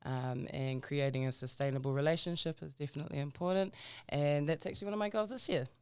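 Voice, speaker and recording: A woman's voice: low-pitched, fast talker, darkish tone, smooth, no room sound. A woman from South Auckland with a New Zealand accent, talking informally as an interview guest with some Māori greetings. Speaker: podcast guest, charity founder. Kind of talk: casual interview talk.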